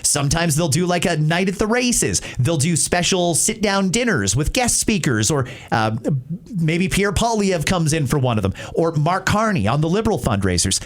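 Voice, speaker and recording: Animated Canadian man, higher-pitched voice. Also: normal speed. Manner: emphatic, conversational, plain-spoken. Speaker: talk show host. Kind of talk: opinionated talk-radio commentary answering listener messages.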